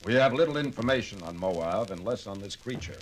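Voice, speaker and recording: Actor as low-voiced, logical science officer. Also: male voice, animated, a little hiss or hum, lightly acted, low-quality recording. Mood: grave, analytical. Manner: measured, formal, analytical. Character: logical, precise, formal alien science officer. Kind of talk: 1970s science fiction audio drama acting. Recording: 1970s drama record with music.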